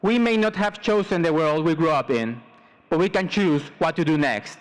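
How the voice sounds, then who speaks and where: A man in his twenties with a fairly high-pitched voice delivers a prepared student address at a commencement. He grew up in Mexico and speaks formally, measuring out his words in short phrases. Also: moderate pace, smooth, rough recording. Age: 20s. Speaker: student salutatorian.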